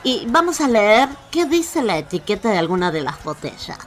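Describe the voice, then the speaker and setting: A woman's voice: mid-range voice, sing-song, moderate pace, slight background noise, natural voice. A woman with an Argentine accent playing a tipsy, cheerful, carefree female slug in a radio fable, teasing and bubbly. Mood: carefree, coaxing. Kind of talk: radio fable acting with music bed.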